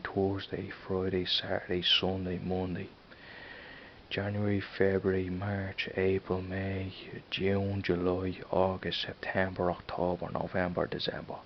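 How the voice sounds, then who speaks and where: A man in his thirties with a Dublin accent, rambling casually and halting over his words in an off-camera vlog. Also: low-pitched, completely flat delivery. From Ireland. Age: 30s. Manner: rambling, halting, many restarts. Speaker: vlogger. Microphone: camera mic, filming a screen. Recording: dull lo-fi sound with faint hiss.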